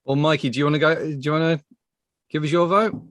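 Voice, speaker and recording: A man hosting a casual panel chat, speaking haltingly and often repeating his words. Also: fairly high pitch, steady tone, fairly bright tone, no echo, studio quality. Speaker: podcast host.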